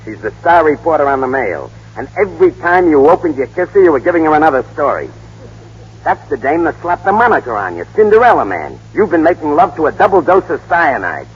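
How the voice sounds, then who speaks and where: An actor playing a gruff, cynical fixer who talks in slang, in 1930s radio style. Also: male voice, on the high side, very animated, fairly quick, exaggerated voice. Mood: accusing, scornful. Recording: muffled, reverberant old 1930s radio recording.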